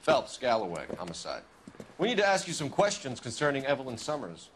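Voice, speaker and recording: Casting: businesslike American homicide cop, period crime game, expressive intonation, formal questioning. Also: male voice, mid-range voice, a little fast, background noise. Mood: brisk, pressing. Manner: formal, clipped, probing. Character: businesslike young male 1940s homicide detective. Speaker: detective. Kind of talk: game cutscene acting, questioning witnesses. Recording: music behind.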